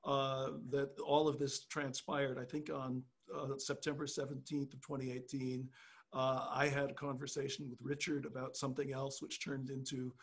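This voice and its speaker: An older man, a pianist, telling a story slowly on a video call through a headset, with many pauses and fillers. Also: medium pitch, monotone, breathy edge.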